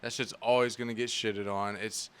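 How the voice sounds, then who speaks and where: A man's voice: fairly low pitch, little pitch movement, moderate pace, fairly bright tone, clean tone. A young man in casual podcast chat, blunt and laid-back, swearing freely and often dropping to a mutter. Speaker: podcast guest.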